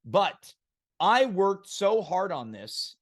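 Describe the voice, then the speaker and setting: Guy hosting a live online show, pretty high voice that swings all over, announcer style. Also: very animated, slow pace. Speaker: host.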